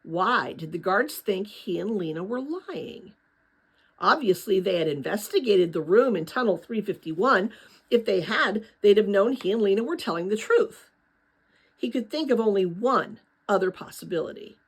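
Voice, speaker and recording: A woman reading a children's novel aloud as a storyteller, dramatic and with deliberate pauses. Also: mid-range voice, animated, husky, poor audio. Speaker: audiobook-style reader.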